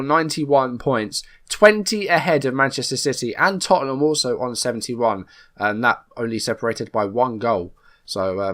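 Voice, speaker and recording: A man's voice: medium pitch, a bit bright. A man with a slight cold hosting a casual let's play video, recapping matter-of-factly in a conversational way. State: coming down with a cold. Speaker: YouTube gaming channel host.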